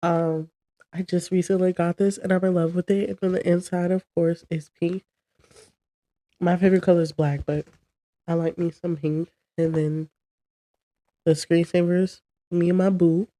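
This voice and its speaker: A woman's voice: lowish voice, little pitch movement, moderate pace, long pauses, warm tone, dry recording. A young woman hosting her own podcast in a casual, chatty, rambling way, sounding congested from a cold. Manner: chatty and rambling, talking to camera. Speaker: podcast host. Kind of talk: casual solo chat.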